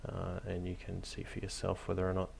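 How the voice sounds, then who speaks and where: A man hosting a hobby tutorial, narrating casually off the cuff in a hesitant, low-key, encouraging way. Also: monotone, brisk, creaky, a little mellow, a little hiss or hum. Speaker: hobby craft tutorial host.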